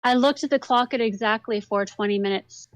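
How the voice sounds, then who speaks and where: A woman's voice: on the high side, a little fast, no room sound, lo-fi sound. Giggly woman losing her voice, dry mic. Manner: giggly, chatty. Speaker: podcast co-host. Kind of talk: casual chat.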